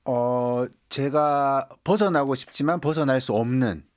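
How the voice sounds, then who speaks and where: A man's voice: mid-range voice, measured pace. A man in his forties from Daegu, a radio guest explaining ideas in a conversational way. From Daegu, South Korea. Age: 40s. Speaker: guest, sociologist and writer. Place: radio studio.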